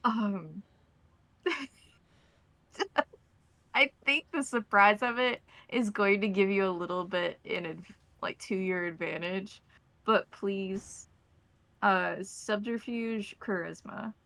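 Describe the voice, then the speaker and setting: A woman narrates a tabletop roleplaying game as game master. Her voice has noticeable fry and is recorded dry and close, at an ordinary middle pitch. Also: fairly even intonation, slow pace, bright, forceful tone, dry recording.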